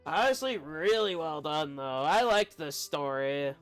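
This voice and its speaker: A man's voice: a little high, lively intonation, unhurried, a bit bright, smooth. A man giving casual, rambling commentary as a game streamer, often breaking off mid-sentence. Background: faint game music.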